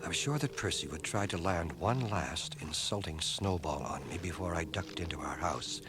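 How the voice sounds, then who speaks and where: An actor playing a grave man recounting his village boyhood in precise, measured radio narration. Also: low-pitched, noisy background, close to an everyday voice. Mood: reflective, precise. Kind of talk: scripted radio drama narration. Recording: old cassette transfer of radio drama.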